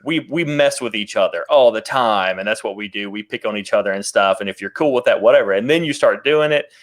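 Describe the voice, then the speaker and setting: A man on a casual live-stream panel, hedging his points about gaming groups. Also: on the low side. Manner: quick, lively, hedging.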